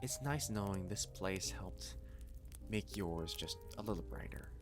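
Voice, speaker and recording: A man as a low-voiced, playfully doting catboy waiter. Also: measured pace, music in the background. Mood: tender, pleased.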